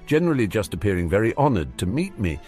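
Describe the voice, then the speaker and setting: A man voicing a calm, articulate, confident young boy in an audiobook story, polite and dry. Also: fairly low pitch, fast talker, soft background music.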